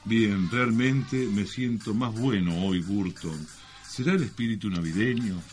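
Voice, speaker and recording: A man's voice: fairly low pitch, measured pace, a little mellow, faint music behind. A man with an Argentine accent co-hosting a radio show, trading teasing, mock-formal banter. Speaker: radio co-host. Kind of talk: bantering comic radio talk with mock-solemn lines.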